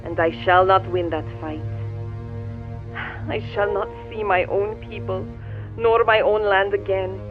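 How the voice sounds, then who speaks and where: A woman's voice: medium pitch, steady tone, a little slow, long pauses, faint music behind. An actress playing a proud, doomed young alien woman, grave and formal, heard through a radio-like filter. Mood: resigned, sorrowful. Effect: radio-like filter over a viewing screen. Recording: thin, phone-like radio-filtered sound.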